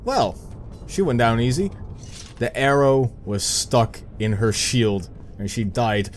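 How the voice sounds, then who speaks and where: A man's voice: medium pitch, takes it slowly, slight background noise. A young Dutch man with a Dutch accent, chatting casually to himself as he narrates his own gameplay, muttering and joking at his own expense. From Netherlands. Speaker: gameplay commentator. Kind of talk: casual let's play commentary.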